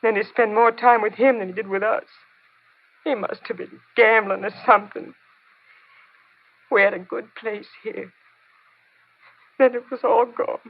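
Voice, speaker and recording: A woman's voice: a little high, steady tone, measured pace, long pauses. An American woman acting a poor, abandoned mother in an old radio drama, weary and bitter, with an emotional, melodramatic delivery. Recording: tinny, narrow-band old radio recording.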